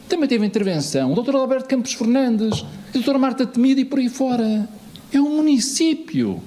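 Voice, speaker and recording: A man speaks to a municipal assembly in a high, lively voice. He swings his pitch widely, piling up rhetorical questions and repeated phrases with emphasis. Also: a little hiss or hum. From Lisbon, Portugal. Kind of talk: political speech in an assembly.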